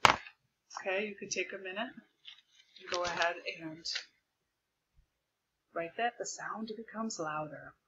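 Woman teacher on a video call, really loud and bright, goes over the key points again and again, a bit muffled. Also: fairly low pitch, slow, pauses often, a little hiss or hum. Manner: loud projected voice; explains step by step, repeats key points. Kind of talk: remote classroom lesson. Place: home desk with a document camera. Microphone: computer mic on a video call.

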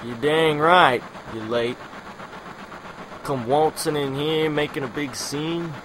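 A man's voice: fairly high pitch, steady tone, slow, noisy background, pitched above the performer's own voice. A man voicing an angry, scolding male gang leader from a video game, with a folksy drawl. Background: video game music.

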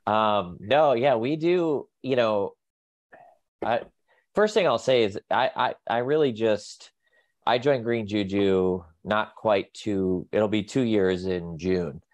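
A man's voice: lowish voice, slow pace, darkish tone, no room sound. A man in his thirties with a nasal voice and a cold, rambling with many fillers as a guest on a casual video call. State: sick with a cold. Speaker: guest, pet nutrition company staff. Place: home office.

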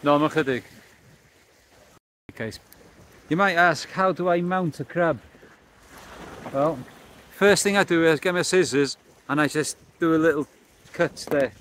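Angler, middle-aged, chatty, talking to a close camera outdoors, slightly high voice, faint wind and water behind him. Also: male voice, measured pace, long pauses, a bit bright. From Wales. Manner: chatty, explaining as he works. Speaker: fishing vlogger. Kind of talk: casual chat to camera. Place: outdoors on a pebbly beach by the sea. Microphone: camera close up, held or on a stand.

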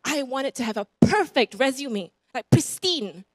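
A woman's voice: sits high, unhurried, no echo, clean studio sound. A woman in her thirties with a Singaporean accent, preaching a sermon on a church stage into a handheld mic. She moves about and gestures as she tells personal stories, and she repeats phrases for emphasis. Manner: animated, roams the stage gesturing. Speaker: guest preacher. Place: church stage before a congregation.

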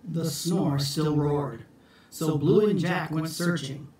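A middle-aged man reads a picture book aloud with theatrical care. His voice sits fairly high and he takes it slowly. The room echoes heavily around him. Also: darkish tone. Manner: deliberate, theatrical storybook delivery. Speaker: storytime reader for schoolchildren. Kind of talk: expressive children's picture book read-aloud. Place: small room at home, indoors. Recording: echoey room, distant phone mic.

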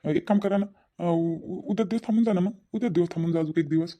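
Woman playing a mellow, fussing village father. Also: on the low side, quick pace, natural voice. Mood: coaxing, reassuring. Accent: Varhadi Marathi from Vidarbha. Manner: fussing, coaxing, repeats his phrases. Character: gentle, concerned village father of married daughters. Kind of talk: amateur family drama acting.

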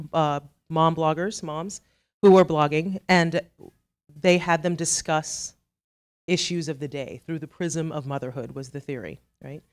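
A middle-aged woman from Trinidad with a Trinidadian accent, giving a chatty, self-deprecating conference talk full of asides. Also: deep voice. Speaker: conference speaker. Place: conference hall.

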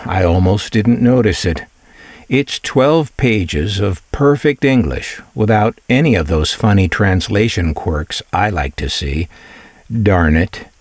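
Male presenter, scripted showcase read with wry asides, animated delivery, close dry sound. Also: on the low side, no echo. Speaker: presenter of vintage radio collection videos. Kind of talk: scripted narration, product showcase.

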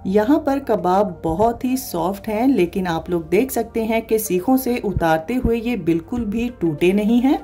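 A woman hosting a cooking channel, calmly explaining each recipe step to viewers in a voice-over. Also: medium pitch, moderate pace, hardly any pauses, soft background music. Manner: calm step-by-step explaining, addresses viewers directly. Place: voice-over, not recorded in the pictured kitchen.

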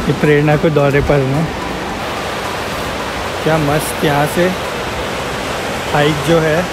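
A man's voice: a little high, little pitch movement, takes it slowly, very noisy. A man giving casual, informal walking commentary outdoors, mixing English words into his speech as he films. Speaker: vlogger behind the camera. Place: outdoors on a forest trail by a river.

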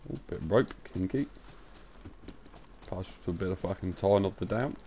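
A young man, his voice croaky and pitched on the low side. He chats with cheeky, crude humor as he live-streams a game from a home setup. A faint hiss sits under his voice. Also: normal speed, warm tone. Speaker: gaming streamer. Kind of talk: casual live-stream banter.

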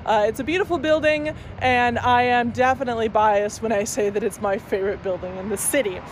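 A young woman tour guide talks to her phone camera on a city street, chatty and enthusiastic, with little asides. Her voice sits on the higher side. Street noise and passing traffic carry clearly under her. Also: steady tone, brisk. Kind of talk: walking-tour presentation to camera. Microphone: phone camera at arm's length.

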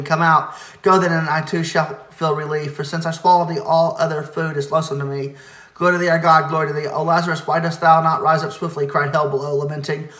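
A man solemnly reciting liturgical hymn verses in a measured way, now and then stumbling over a word. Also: on the high side, pushed and bright. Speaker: reader of church liturgy. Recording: echoey, reverberant room.

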